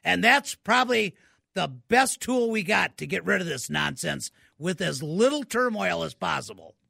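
Minnesota guy on a radio tech show, bright edgy voice, keeps repeating words to stress them. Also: sits high, lively intonation, normal speed, gravelly, no room sound, studio quality. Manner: enthusiastic, repeats words for emphasis. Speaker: tech expert co-host. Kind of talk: radio call-in advice.

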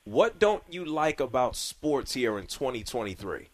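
A male sports talk host keeps prodding an older caller with follow-up questions. His pitch rises and falls a lot as he talks. The sound is dry and close, straight from a radio studio. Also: big pitch swings, measured pace, no room sound. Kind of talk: radio call-in chat.

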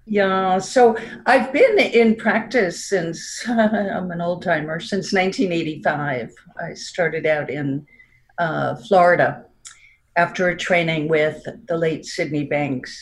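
A woman in her sixties with a New York accent, speaking as a therapist guest in a reflective, unhurried way with frequent light laughs. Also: on the low side. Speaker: marriage and family therapist guest. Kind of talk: reflective interview talk.

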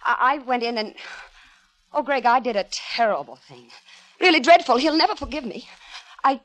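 An actress playing a volatile, scheming jilted wife in a melodramatic 1950s radio drama, with dramatic pauses and repetitions. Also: female voice, high-pitched, expressive, fairly bright tone. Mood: anxious, pleading. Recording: vintage 1950s radio broadcast recording.

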